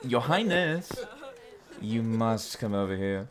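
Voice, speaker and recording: An actor playing a boastful, competitive young warrior in an audio drama, teasing and cocky. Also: male voice, lowish voice, slow pace. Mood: playful, goading. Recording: lively crowd chatter behind.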